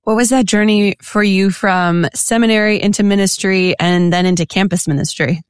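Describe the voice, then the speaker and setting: A woman's voice: medium pitch, fairly even intonation, normal speed, smooth, dry recording. A woman co-hosting a radio show, reading scripted credits and putting questions to guests.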